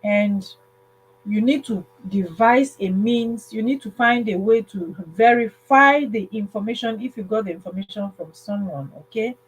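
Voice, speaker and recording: A woman hosting a livestream, casually telling a story in a halting way, repeating phrases and checking in with listeners. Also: mid-range voice, slight background noise, poor audio. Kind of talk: casual spoken storytelling with advice.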